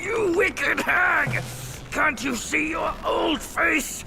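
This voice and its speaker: A man voicing a brash, lecherous and cowardly pig demon in a video game, with a gravelly, theatrical, mocking delivery. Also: very high voice, animated, extremely slow, background noise, exaggerated voice. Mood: outraged, mocking. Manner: theatrical, blustering, prone to shouting. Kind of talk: video game cutscene acting. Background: dramatic game music.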